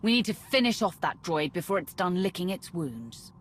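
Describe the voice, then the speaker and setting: An actress playing a cold, vengeful Sith warrior commander in a video game, menacing and commanding. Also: female voice, fairly low pitch, sing-song, moderate pace, a little hiss or hum. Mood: suspicious, cold. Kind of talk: cinematic video game voice acting.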